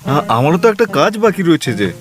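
A wistful young husband. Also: male voice, a little high, very animated, fairly quick, over music. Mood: romantic. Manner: gentle, dreamy, coaxing. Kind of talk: audio drama acting.

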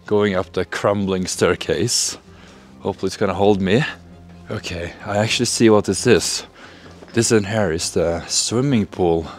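A man's voice: sits low, normal speed, faint music behind. A young man hosting a casual exploring vlog, thinking aloud with fillers, filmed on a handheld camera in a large, cold, empty building. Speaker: urban explorer host. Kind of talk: casual on-location vlog talk.

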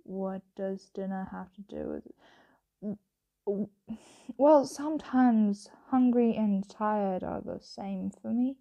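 Tired, secretive vampire roommate for ASMR roleplay, played by a woman: mellow, unhurried, hesitant and evasive. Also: medium pitch. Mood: evasive, weary. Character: flustered young female vampire roommate, tired, hungry, secretive. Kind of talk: ASMR roleplay, soft conversational acting.

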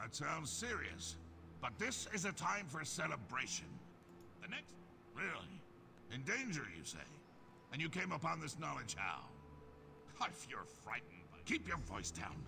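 Gravelly, animated orc king. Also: on the high side, noisy background. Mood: hushed warning. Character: smooth-talking, cunning male orc king, jovial yet domineering. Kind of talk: fantasy game character acting.

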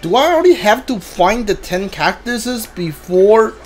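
A man giving casual video game commentary in a halting way, stumbling over his words. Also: sits high, lively intonation. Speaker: gamer streaming a playthrough. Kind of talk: casual gameplay commentary, reading dialogue aloud. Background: game music.

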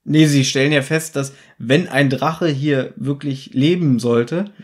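A man's voice: medium pitch, unhurried. A man co-hosting a podcast, casually retelling a story and bantering. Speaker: podcast co-host.

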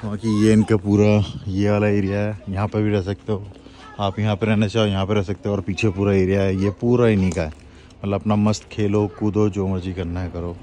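A man's voice: on the low side, level tone, brisk, dark tone. A man in his thirties, a travel vlogger, chatting casually and exclaiming over the scenery, filming himself outdoors on a phone at arm's length. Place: outdoors on a mountain road.

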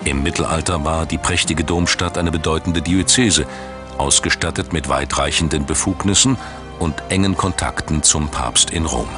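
Deep male doc narrator, measured n dramatic, music behind him. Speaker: documentary narrator. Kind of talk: scripted documentary narration. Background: background music.

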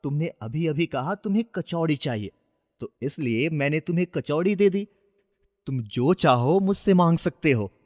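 A man's voice: fairly high pitch, lively intonation, moderate pace, a little mellow, no room sound, in a large hall. A man voicing a friendly magical talking umbrella, a reassuring and obliging servant in a children's moral story. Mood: amused reassurance.

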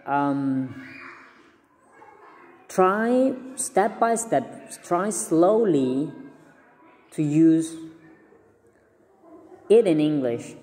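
Slow, mellow Cambodian-accented young man. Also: a little high, big-room sound. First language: Khmer. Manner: earnest, repeats words and restarts often. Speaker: vlogger giving language tips. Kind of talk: casual talk to camera. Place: small indoor room. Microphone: phone at arm's length.

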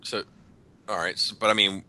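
Casting: male podcast moderator, forceful bright tone, slightly low pitch, informal. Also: fairly even intonation, moderate pace. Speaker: podcast host reading listener questions. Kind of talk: casual chat moderation.

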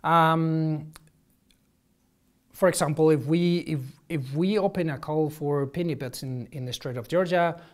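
A man, answering interview questions as a marine ecologist, halting and restarting often. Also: fairly high pitch. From Spain. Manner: halting, many restarts and ums, gestures while explaining. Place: office with shelves, indoors. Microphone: lapel.

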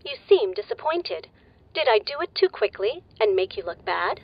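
A calm, deadpan computer AI. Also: female voice, medium pitch, normal speed, muffled recording. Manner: calm, formal, deadpan and gently patronizing. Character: polite female base computer AI.

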